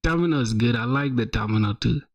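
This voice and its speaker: A man's voice: medium pitch, quick pace, highs cut off. Slangy young man hyping viewer sites. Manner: slangy, animated, calls viewers "bro" and "my boy". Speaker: streamer reviewing viewer websites. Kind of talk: casual live-stream chat.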